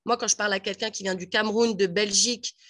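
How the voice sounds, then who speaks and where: A woman from Paris with a Parisian French accent, chatting as a guest on a radio panel in a lively way with many fillers. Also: medium pitch, fast talker. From France (Paris). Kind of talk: casual radio discussion.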